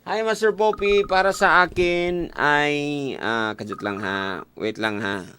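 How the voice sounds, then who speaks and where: A man's voice: fairly high pitch, measured pace, sharp tone. A man with a Filipino accent hosting a casual radio advice show, speaking conversationally and directly to listeners and callers. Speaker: radio host taking listener calls and reading messages. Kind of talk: casual radio advice talk in mixed languages. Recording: music bed behind radio voice. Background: guitar and keyboard music.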